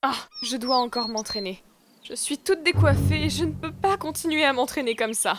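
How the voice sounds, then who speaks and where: High-pitched eager cartoon girl voiced by a woman. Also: normal speed, background noise, close to an everyday voice. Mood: downcast. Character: excitable, eager young girl in a children's cartoon. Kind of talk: animated cartoon dub.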